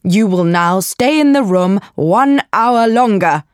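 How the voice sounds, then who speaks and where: A woman's voice: medium pitch, lively intonation, takes it slowly, clear, resonant tone, no echo, studio quality. A woman voicing a cold, stern aunt in an audiobook, curt and commanding. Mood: cold, angry. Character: cold, stern aunt, strict mistress of the house. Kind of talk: audiobook character voice.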